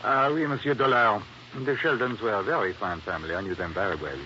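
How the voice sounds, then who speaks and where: An actor playing a formal, condescending police inspector in an old radio drama. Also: male voice, fairly low pitch, brisk, pushed and bright. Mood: smooth, knowing. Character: suave, authoritative Haitian police inspector, veiled menace. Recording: muffled, faintly noisy old radio recording.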